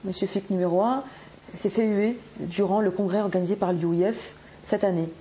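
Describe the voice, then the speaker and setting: A woman's voice: mid-range voice, steady tone, normal speed, warm tone, slight background noise. A woman talking casually in an interview, with loose fillers. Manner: casual, fillers like "bah" and "machin".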